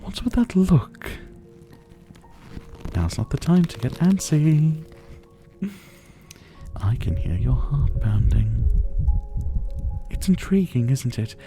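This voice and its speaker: A man playing a suave, possessive vampire who was once a doctor, speaking to the listener in a theatrical, softly menacing ASMR roleplay. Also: medium pitch, big pitch swings, takes it slowly, pauses often, soft background music. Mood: menacing, intrigued. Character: older male vampire captor, former doctor, suave, possessive.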